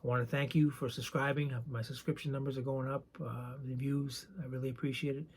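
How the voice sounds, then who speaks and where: A soft-spoken man with a raspy voice, chatting casually and rambling a bit while showing his collection. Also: medium pitch, flat delivery, brisk. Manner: soft-spoken, not loud; rambling, hesitant with many ums. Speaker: card collecting channel host. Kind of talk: casual show-and-tell chat.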